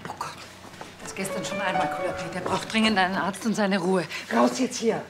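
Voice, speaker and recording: A woman's voice: medium pitch, fairly quick, echoey room, in a large hall, over loud music, lightly acted. A woman playing a firm, protective aristocrat guarding her family in a crime drama. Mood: alarmed. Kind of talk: German crime drama acting.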